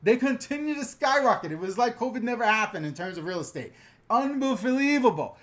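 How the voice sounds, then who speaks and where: Middle-aged male host from the NY/NJ area, higher-pitched voice, chatty and jokey, runs a live viewer Q&A on a GoPro. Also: animated, a little fast, fairly bright tone. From New York/New Jersey area. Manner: chatty, joking, laughs often. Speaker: host answering viewer questions. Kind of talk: casual live-stream Q&A. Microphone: GoPro camera.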